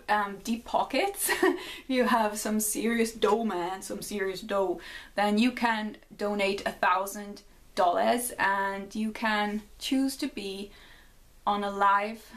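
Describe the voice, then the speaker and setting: A woman's voice: medium pitch, slow pace, fairly bright tone. A young woman with a Geordie accent chatting casually on her vlog and then reading her own short story aloud, laughing at herself now and then. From North East England. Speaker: vlogger.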